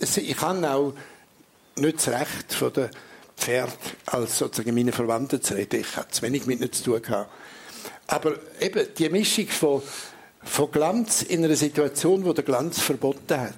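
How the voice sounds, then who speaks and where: Older Swiss German man, husky and reflective. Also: mid-range voice. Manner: reflective, searching for words. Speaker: writer. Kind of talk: live talk-show guest, reminiscing. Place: theatre stage before an audience.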